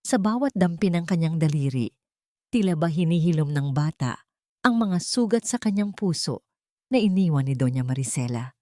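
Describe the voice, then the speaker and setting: A woman's voice: sits low, animated, moderate pace, dark tone, dry recording, clean studio sound. A woman reading scripted dramatic narration in a solemn, emotive storytelling manner.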